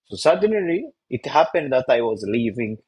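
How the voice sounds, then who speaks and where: A young Rwandan man speaks earnestly in a casual discussion. His voice is slightly husky, and his English is halting, full of rhetorical questions. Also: mid-range voice, sing-song, a little mellow, poor audio. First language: Kinyarwanda. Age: young adult. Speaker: youth discussion guest.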